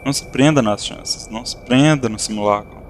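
An actor playing a calm, reflective spirit of a dead friend in an audio drama, speaking softly and unhurriedly. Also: male voice, mid-range voice, brisk, slight background noise, lightly acted. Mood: wistful. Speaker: spirit character.

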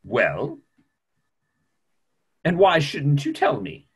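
A middle-aged man playing a careworn, formal family doctor in an online play reading, with measured, hesitant phrasing. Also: on the high side, wildly swooping pitch, husky, full character voice. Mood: guarded, indignant. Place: small room at home, video call.

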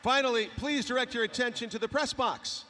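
A man's voice: extremely high pitch, brisk, sharp tone. A man making a formal, scripted ceremony announcement as an arena announcer, projecting over the public address. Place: large indoor ice hockey arena. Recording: echoing arena PA with clear crowd ambience. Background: arena ambience and noise.

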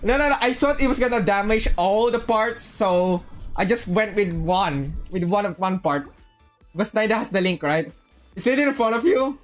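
Excitable game streamer repeating short phrases. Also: medium pitch, normal speed, faint music behind. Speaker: livestreamer playing a game. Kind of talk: casual live gameplay commentary.

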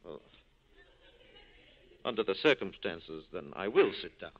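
An actor playing a suave, corrupt police captain with an urbane, droll manner in 1940s radio style. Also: male voice, deep voice, big pitch swings, unhurried, lo-fi sound, full character voice.

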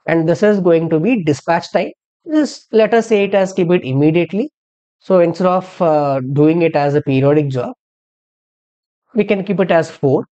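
A software instructor narrating a step-by-step tutorial in an explanatory way, posing questions and then answering them. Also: mid-range voice, moderate pace, highs cut off. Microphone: screen-recording voice-over.

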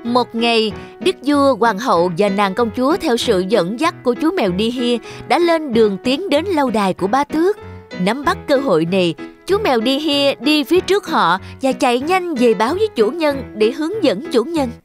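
A woman's voice: sits high, animated, normal speed. A woman narrator reading a scripted fairy tale for children. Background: music.

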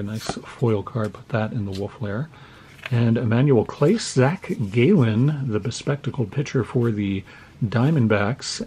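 A man from the United States, slightly hoarse, opening trading cards at his desk at home and narrating each pull with deadpan mock horror. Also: lowish voice. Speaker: card-opening channel host. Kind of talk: mock-scared card-opening commentary. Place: home desk with Halloween decor.

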